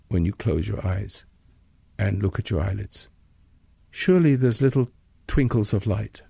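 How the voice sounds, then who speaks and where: Male meditation guide: husky, dark-toned, very close and dry, plain-spoken, halting instructions. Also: fairly low pitch, no echo. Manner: measured, plain-spoken, pausing mid-sentence.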